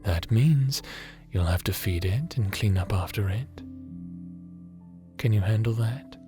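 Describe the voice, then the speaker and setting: A man playing a gentle, fatherly creator god with a hidden weariness in a scripted audio roleplay, soft-spoken and deliberate, sometimes slipping into a whisper. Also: on the low side, lively intonation, music in the background. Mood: tender, doting. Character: gentle fatherly creator god, tender mentor, secretly haunted and weary.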